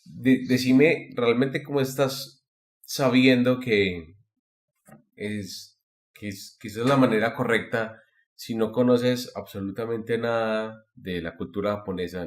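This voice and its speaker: A man's voice: fairly low pitch, steady tone, unhurried. A man, giving opinions emphatically and casually as a podcast co-host, often repeating phrases.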